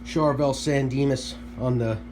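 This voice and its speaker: A man casually explaining how a guitar detuner works at his workbench, relaxed and offhand, with fillers. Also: medium pitch, unhurried, background noise. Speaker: guitarist demonstrating gear. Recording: guitar playing under the voice.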